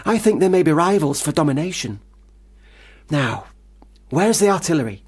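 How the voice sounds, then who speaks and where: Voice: medium pitch, sing-song, moderate pace, a little mellow, slightly rough. An actor in a radio play playing a bossy, imaginative young boy who speaks in a pompous, pedantic, declaiming way. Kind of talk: radio drama acting.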